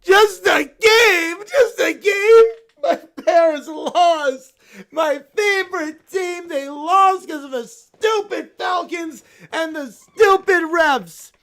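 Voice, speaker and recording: A high, loud little bear boy in a full tantrum, wailing and shouting through tears, as a woman puts him on. His voice climbs shrill and cracks with sobbing, and he drags out his words. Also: lively intonation, slow pace, vocal fry, hardly any pauses, exaggerated voice. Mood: furious wailing.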